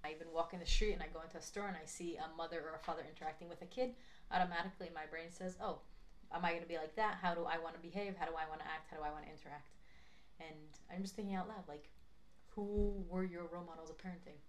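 A young woman co-hosting a podcast in casual chat, thinking out loud in an earnest way and often saying "like". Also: fairly low pitch, steady tone, fast talker. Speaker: podcast co-host.

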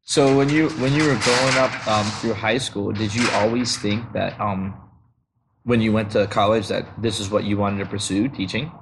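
A man's voice: fairly low pitch, fairly even intonation, normal speed, noisy background. A man hosting an interview, asking rambling questions with enthusiasm and frequent laughs. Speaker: podcast host, interviewer. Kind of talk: casual interview.

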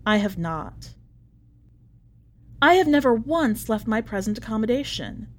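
Woman playing a genteel, unhinged prisoner, higher-pitched. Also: big pitch swings, measured pace. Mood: genteel, chatty. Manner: prim, ornate, politely understated phrasing. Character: genteel imprisoned letter writer, chatty, polite, wistful, quietly unhinged. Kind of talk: dramatic short-story reading.

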